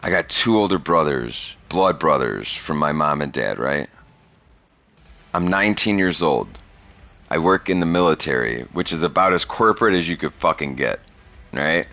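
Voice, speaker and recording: Podcast host, man, 30s, low voice, blunt and profane, close dry mic. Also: expressive, moderate pace, bright, forceful tone, dry recording. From Chicago area. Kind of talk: casual chat.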